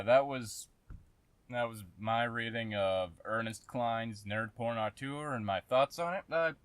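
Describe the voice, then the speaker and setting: A man giving a casual, sarcastic commentary rant, exasperated and swearing heavily, with halting, emphatic repeats. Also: medium pitch, no echo. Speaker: host of a commentary channel.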